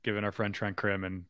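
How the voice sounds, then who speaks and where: 30s guy chatting sports on a podcast, clean crisp voice, dry mic sound. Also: fairly low pitch, a bit bright, no echo. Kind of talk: casual postgame sports chat. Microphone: desk microphone.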